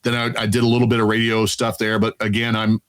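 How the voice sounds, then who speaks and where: A man in his fifties with a deep, resonant voice that sits on the low side. He tells stories in a relaxed, chatty manner, acts out his own past remarks and laughs easily. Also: quick pace. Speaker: Air Force veteran guest. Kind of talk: casual storytelling interview.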